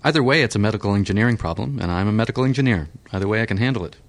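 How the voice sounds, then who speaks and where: A man's voice: lowish voice, lively intonation, very fast, pitched above the performer's own voice. A man reading an audiobook, voicing a blunt, dismissive male medical engineer who speaks almost brutally.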